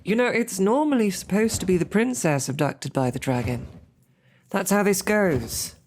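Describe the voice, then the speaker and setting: A woman's voice: medium pitch, animated, normal speed, a little mellow, slight background noise, close to an everyday voice. A woman playing a snarky, defiant captive knight loyal to her princess, speaking in formal, chivalrous phrases with dry sarcasm in an audio drama. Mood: wry, indignant. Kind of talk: scripted audio roleplay drama.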